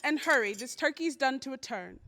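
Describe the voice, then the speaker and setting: A woman playing a busy, brisk and dismissive American mother in an old-time radio drama. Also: high voice, lively intonation, noisy background. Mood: hurried. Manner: brisk, fussing.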